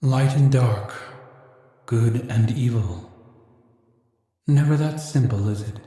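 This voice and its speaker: An actor playing a gentle, brooding, philosophical hero in a video game, speaking in a soft, measured, pensive way. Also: male voice, mid-range voice, natural voice. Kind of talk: dramatic video game monologue. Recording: very echoey, reverberant sound.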